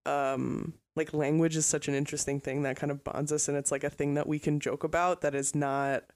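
A podcast co-host speaking hesitantly, with many "uh" and "um" fillers and soft laughs. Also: mid-range voice, level tone, a little fast. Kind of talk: casual chat podcast.

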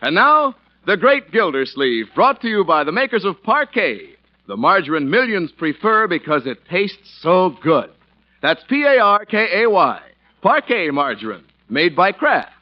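A man's voice: sits high, sing-song, measured pace. A man announcing in scripted 1940s radio style, emphatic and salesmanlike. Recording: muffled old radio recording. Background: faint music.

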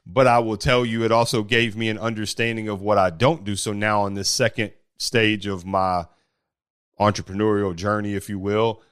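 A man with a big, deep voice, talking bluntly about running a startup in a casual podcast conversation. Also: flat delivery, moderate pace, clean tone, no room sound, studio quality. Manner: blunt and forceful, with mild swearing. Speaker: startup founder.

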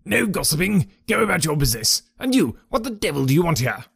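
A bullying old horse trainer, put on by a man reading a storybook, barking in a voice lifted high above the narrator's. He blusters and snaps. The recording is dry and close. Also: big pitch swings, fast, vocal fry, slightly rough, no room sound, full character voice. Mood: angry. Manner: blustering, shouting. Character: fierce bullying elderly male horse trainer.

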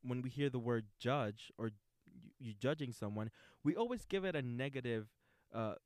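A man hosting a relaxed talk about acting technique, rambling a little with restarts as he thinks aloud. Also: medium pitch, normal speed, no echo, clean studio sound. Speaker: host.

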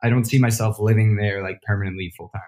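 A man's voice: fairly low pitch, fairly even intonation, fast, darkish tone. A man whose first language is French, who grew up in France and speaks English with an American accent, chatting casually and laughing easily. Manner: laid-back, laughs easily, swears casually. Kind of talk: casual chat.

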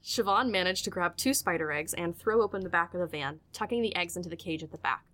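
A woman narrating a scripted audio drama in a measured, literary storytelling manner. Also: fairly low pitch, a bit bright.

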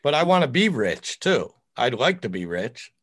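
A middle-aged American man with a deep voice, chatting casually and bluntly on a podcast as an opinionated, foul-mouthed guest. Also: sing-song, moderate pace, a bit bright, breathy edge, dry recording, studio quality. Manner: opinionated, blunt, crude joking. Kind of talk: casual, profane podcast chat.

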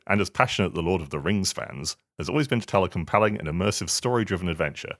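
A man's voice: low voice, fairly bright tone, dry recording, studio quality. A man talking casually on a podcast, sometimes reading a statement aloud. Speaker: podcast panellist.

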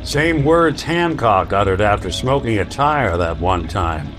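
A wry hard-boiled detective in a radio play. He talks in a dry, down-to-earth way with lively pitch, music clearly behind him. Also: measured pace. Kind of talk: radio drama acting.

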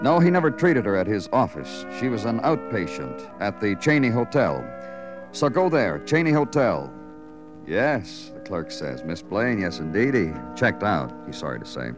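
Low-voiced hard-boiled American detective. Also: male voice, sing-song, normal speed, over music. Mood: weary, moody. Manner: clipped, staccato, hard-boiled. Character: terse, world-weary New York police detective narrator. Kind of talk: 1950s radio noir acting and narration.

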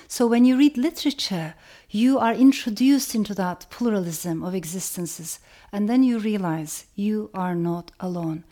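A woman from Turkey giving a reflective educational talk, speaking in a measured way and repeating phrases for emphasis. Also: medium pitch, warm tone.

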